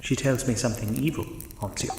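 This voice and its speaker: A man's voice: medium pitch, steady tone, fairly quick, creaky, lightly acted. A man playing a pious, compassionate old priest in a horror audio drama, speaking earnestly and solemnly with growing alarm. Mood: gentle, coaxing. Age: older. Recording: echoey cavernous reverb, loud background noise.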